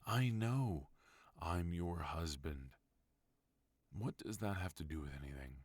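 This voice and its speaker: A man voicing a protective mafia boss husband in an ASMR roleplay, talking low, firm and softly pleading. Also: animated, a little slow, husky, no room sound, exaggerated voice. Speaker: voice actor.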